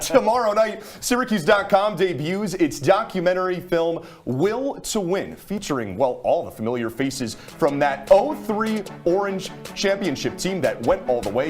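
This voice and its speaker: A man working as a sports announcer and reporter, delivering highlights and reports in a punchy, emphatic broadcast style.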